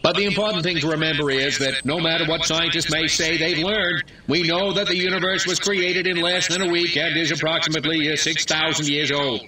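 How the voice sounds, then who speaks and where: Pompous, glib actor as a small-town mayor. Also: male voice, a little high, steady tone, a little fast, few pauses, distant mic, background noise, lightly acted. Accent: American. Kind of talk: mock political speech, comic game acting.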